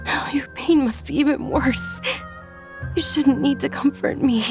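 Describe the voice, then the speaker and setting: An actress voicing a gentle, caring young noblewoman in a video game, soft and eager in her speech. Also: high voice, a little slow, music in the background.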